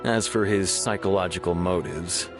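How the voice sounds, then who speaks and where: A man's voice: low voice, normal speed, lightly acted. A man playing an earnest, businesslike police officer in a video game, explaining formally with mild exasperation. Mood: explanatory. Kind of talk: video game character dialogue. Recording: music behind the voice.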